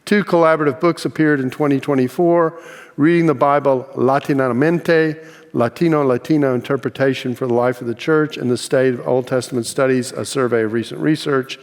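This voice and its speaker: A man's voice: medium pitch, steady tone, a little fast, big-room sound. An older man hosting an interview, talking in a friendly, rambling way with many fillers and restarts. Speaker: interview host.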